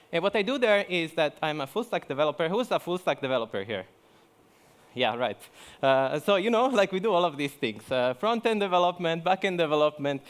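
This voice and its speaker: A man's voice: on the high side, smooth. Chatty man with fillers. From Italy. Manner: chatty, many fillers and restarts. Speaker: conference speaker. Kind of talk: joking conference talk. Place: conference hall.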